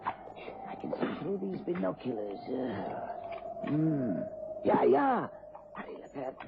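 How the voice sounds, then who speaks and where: Noisy dated broadcast, cold fanatical killer, crackly fry in the voice, radio play delivery. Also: male voice, on the high side, huge pitch swings, takes it slowly, full character voice. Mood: tense, watchful. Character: cold, fanatical male assassin, ruthless, fatalistic. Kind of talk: vintage radio drama acting. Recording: dull, noisy old radio recording.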